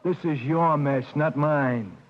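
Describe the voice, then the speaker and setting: Shifty slangy convict, slightly high, muffled old radio. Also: male voice, takes it slowly. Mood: defensive. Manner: streetwise, defensive slang. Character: cocky young male inmate, shifty and defensive. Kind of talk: 1940s radio acting. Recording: dull old radio recording.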